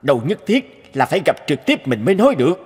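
A man's voice: a little high, big pitch swings, fast, a little mellow, slightly rough. A man voicing a busy, grumbling male research scientist in a cartoon, with a harried manner. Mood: exasperated. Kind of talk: cartoon voice acting.

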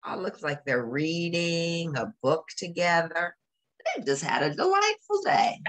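Slow, very animated woman reading a storybook. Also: lowish voice. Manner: expressive, explanatory, with exclamations. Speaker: storybook reader. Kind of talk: read-aloud with casual commentary for young children.

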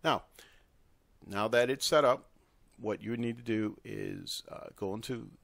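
Low-voiced man with a gravelly creak. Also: a little slow, fairly bright tone, no echo. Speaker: software tutorial presenter. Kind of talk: unscripted screen-recorded tutorial.

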